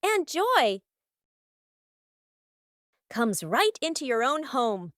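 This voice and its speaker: A woman's voice: high-pitched, sing-song, extremely slow, a bit bright, dry recording, clean studio sound. A woman narrating a children's cartoon from a script, in short, simple storybook sentences.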